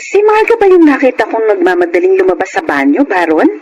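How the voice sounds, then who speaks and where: A woman's voice: mid-range voice, wildly swooping pitch, quick pace, over music. A woman playing a dutiful breadwinner wife who grows suspicious, in Filipino radio drama style with a Filipino accent. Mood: suspicious, hurt.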